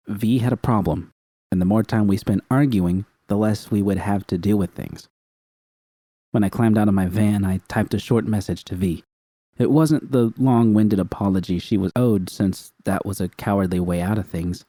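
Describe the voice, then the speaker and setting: Deep-voiced man reading a story. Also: warm tone, dry recording. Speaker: reader of fan fiction. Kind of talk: first-person story narration.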